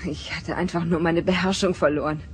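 A woman's voice: lowish voice, fairly even intonation, fairly quick, natural voice. A woman as a composed young starship officer. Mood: resigned. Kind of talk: German radio play acting. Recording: loud music behind radio drama.

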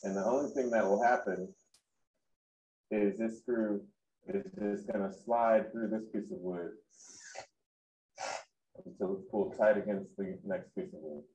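Low-voiced man explaining screws. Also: fairly even intonation, unhurried, pauses often, dark tone. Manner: patient explanation. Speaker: woodworker explaining technique. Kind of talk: casual workshop demonstration. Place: woodworking shop indoors. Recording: echoey workshop.

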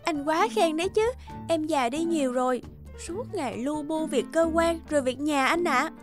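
A woman playing a weary, fed-up young wife in an animated cartoon, talking expressively. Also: high-pitched, normal speed, lightly acted. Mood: bashful. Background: background music.

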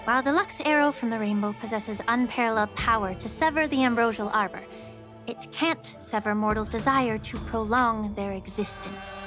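A woman voicing a composed, soft-spoken young woman alchemist in a game. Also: on the high side, normal speed, lightly acted. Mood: reflective. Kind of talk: game voice acting. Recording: game audio with clear background music.

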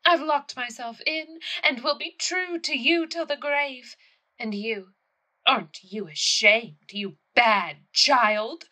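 A woman's voice: fairly high pitch, slow, sharp tone, heavily acted, poor audio. A woman playing a young grieving widow in an audio play, haughty and self-dramatizing, declaiming with tragic, imperious airs. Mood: wounded, reproachful. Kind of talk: theatrical audio play acting.

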